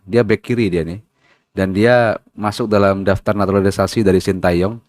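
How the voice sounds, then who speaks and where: A man with a deep, low voice hosts a football channel in a loose, chatty way. He pads his talk with fillers and tag questions and trips over hard foreign names. The sound is close and dry, with no room around it. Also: no echo. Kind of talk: casual spoken commentary.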